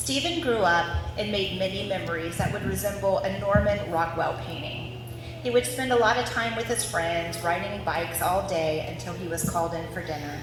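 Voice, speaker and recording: A woman's voice: lowish voice, moderate pace, music in the background. A woman reading out a scripted family story before a live audience. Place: large reverberant room at a family gathering. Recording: very echoey hall with clear background noise.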